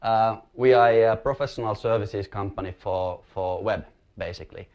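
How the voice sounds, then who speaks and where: A man speaks in a fairly low voice with a Finnish accent. He presents at a conference as a company executive, explaining things informally and a little hesitantly. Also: normal speed, darkish tone. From Finland. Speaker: company chief executive giving a talk.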